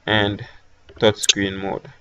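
A man with a Jamaican accent talking through a software tutorial step by step, with hesitant fillers between instructions. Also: lowish voice, completely flat delivery, slow pace, a little hiss or hum, low-quality recording. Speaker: tech tutorial host. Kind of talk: screen-recorded software tutorial.

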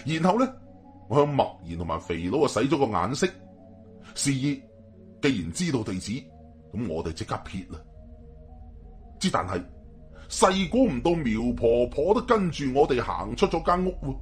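A man's voice: mid-range voice, big pitch swings, moderate pace, pauses often, faint music behind. A man with a Hong Kong Cantonese accent telling a story in a colloquial, suspenseful, dramatic narration.

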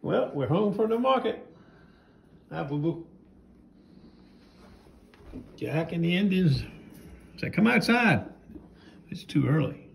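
A man's voice: a little high, very animated, measured pace, creaky, long pauses, a little hiss or hum. An older man narrating a casual home vlog, rambling and folksy, filming with a phone around his house and yard. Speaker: knife sharpener vlogger.

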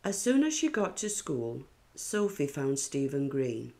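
A woman reading a children's picture book aloud in a deliberate, expressive storytelling style. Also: a bass voice.

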